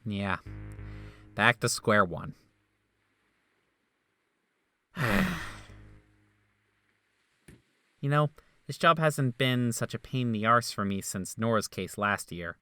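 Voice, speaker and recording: A man's voice: measured pace, smooth, no room sound. A man voicing a sarcastic, blunt male doctor in a fan dub of a game. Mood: weary. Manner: sardonic and blunt, lively swings in pitch. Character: sarcastic, scheming male memory-doctor, middle-aged. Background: faint music.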